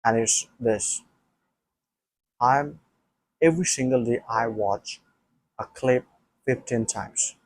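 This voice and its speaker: Non-native English male, husky mid voice, self-talk to camera, repeats and restarts a lot. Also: medium pitch, slow, long pauses, dry recording, low-quality recording. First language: not English. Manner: thinking aloud with many restarts and repeats. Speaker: language learner. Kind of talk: casual solo talk to camera.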